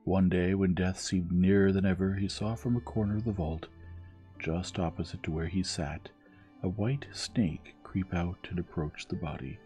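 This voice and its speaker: Male bedtime story reader, very low and warm, soft measured delivery. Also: fairly even intonation. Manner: soft, measured, soothing reading. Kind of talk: gentle scripted storybook narration. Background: music.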